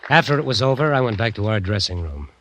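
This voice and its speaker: Weary, haunted American bandleader in old radio drama. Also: male voice, on the low side, very animated, crowd noise, noisy background. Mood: tense recollection. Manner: halting, weary, dramatic delivery. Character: haunted bandleader narrating his slow, weary decline. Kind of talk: 1940s-50s radio drama acting, first-person narration. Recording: vintage radio recording, music behind.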